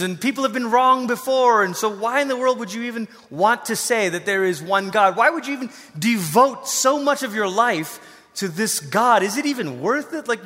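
A middle-aged male pastor with a bright, forceful, fairly high voice preaches in a conversational style. He is an informal, animated storyteller, and his words ring with the long reverb of a large hall. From Malaysia. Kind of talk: conversational sermon with personal storytelling.

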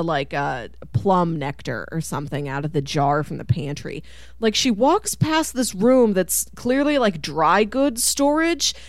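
A woman chatting casually about film as a podcast co-host, with an animated, enthusiastic and giggly manner. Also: medium pitch, no echo, clean studio sound. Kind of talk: casual chat.

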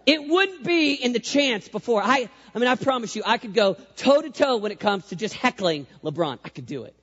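A male youth pastor with a squeaky voice, preaching an energetic sermon, repeating phrases for emphasis and leading the crowd in call-and-response. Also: fairly quick. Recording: echoey hall, dull lo-fi sound.

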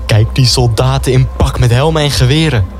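An actor playing an excitable, defiant young brother in a wartime radio drama, pushy and quick to complain. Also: male voice, medium pitch, natural voice. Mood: awed, insistent. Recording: music under the voice.

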